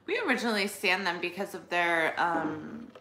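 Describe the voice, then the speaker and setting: A woman's voice: fairly low pitch, expressive, normal speed, sharp tone, reverberant. A young woman co-hosting a casual livestream, bantering playfully with her friend. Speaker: livestream co-host.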